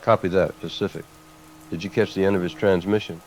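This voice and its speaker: A man's voice: low-pitched, steady tone, brisk, a little mellow, slight background noise. A man playing a weary, grim resistance base commander in a post-apocalyptic radio drama. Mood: grave, urgent. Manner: grim, grave, burdened.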